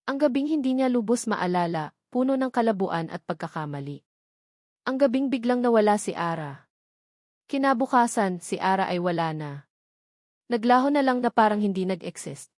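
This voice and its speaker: A woman's voice: mid-range voice, darkish tone, no room sound, studio quality. A woman with a Filipino accent reading a dramatic romance story as a scripted narrator.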